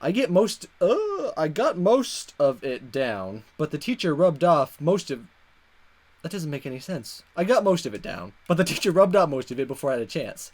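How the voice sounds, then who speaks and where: A man's voice: on the high side, big pitch swings, normal speed. A man hosting a gaming video, chatting casually and playfully as he reads a visual novel aloud. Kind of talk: casual let's play commentary and read-aloud narration.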